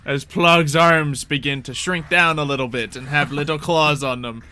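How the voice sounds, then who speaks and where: Bright-voiced man as game master. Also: fairly high pitch, fairly even intonation, normal speed, slight background noise. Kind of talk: improvised tabletop game narration.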